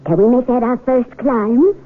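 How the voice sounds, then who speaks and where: A woman's voice: fairly high pitch, normal speed, lightly acted. Woman acting an eager tourist wife, tinny sound. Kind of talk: 1970s radio drama acting. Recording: tinny, noisy old radio recording.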